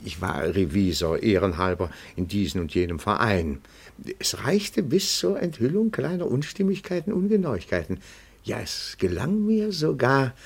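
Deep, creaky, meek old bookkeeper-detective. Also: male voice, full character voice. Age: older. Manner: deferential, fussy, long-winded, formal. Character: meek, pedantic old bookkeeper turned private detective, reverent admirer. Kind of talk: radio play acting.

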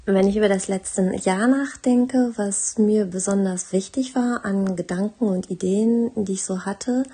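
A woman's voice: mid-range voice, a little slow, dark tone, slightly rough. A woman giving a personal, reflective voice message as a guest contributor.

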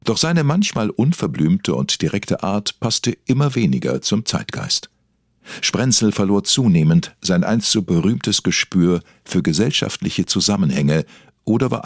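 A man's voice: low voice, very animated, moderate pace, no echo, studio quality, full character voice. A man narrating a mock celebrity documentary as a solemn, scripted storyteller. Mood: deadpan solemn.

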